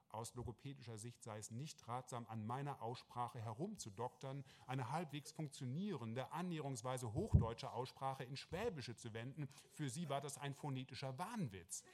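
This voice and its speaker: A man's voice: mid-range voice, animated, darkish tone. Man narrating a wry first-person story. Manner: measured, wry, with small restarts. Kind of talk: first-person literary narration, reported speech.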